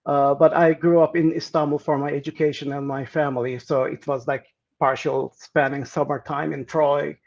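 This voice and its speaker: A man's voice: a little high, gravelly. Man on a muffled call. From Turkey. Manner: hesitant, many fillers and restarts, earnest. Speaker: guest. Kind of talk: casual interview answers.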